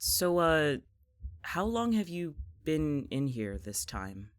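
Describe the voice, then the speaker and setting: A woman's voice: low voice, very animated, slow, no room sound, exaggerated voice. A woman acting a blunt, foul-mouthed, guilt-ridden young friend in a naturalistic audio drama. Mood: bantering. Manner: blunt, sweary, emotionally raw.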